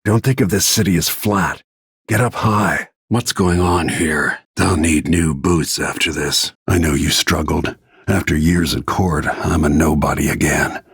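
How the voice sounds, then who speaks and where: A grim middle-aged assassin from a video game. His voice is very husky and rasping, sitting low, with terse, restrained delivery. He sounds world-weary and brooding, recorded close and dry. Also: steady tone, unhurried, no room sound, full character voice. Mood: grim, measured. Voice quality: gravelly, husky. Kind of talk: scripted video game voice lines.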